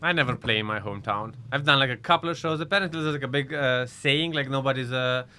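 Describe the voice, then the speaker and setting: A comedian from Romania, talking casually on a podcast with a bright, forceful tone. He jumps in eagerly and quickly apologizes when he cuts across others. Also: mid-range voice, slight background noise. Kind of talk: casual chat.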